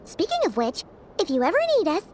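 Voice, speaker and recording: A woman's voice: high-pitched, very animated, fast talker, darkish tone, a little hiss or hum. A woman voicing a tiny childlike flying fairy in a video game, chirpy and casual, with music behind her. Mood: friendly.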